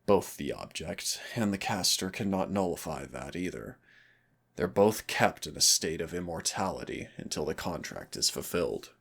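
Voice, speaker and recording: A man playing a teasing but stern demon hunter in an audio roleplay, speaking deliberately with dramatic pauses in a gravelly, husky voice. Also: low voice, expressive, heavily acted. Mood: grave, wry. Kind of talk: audio roleplay acting, intimate second-person dialogue.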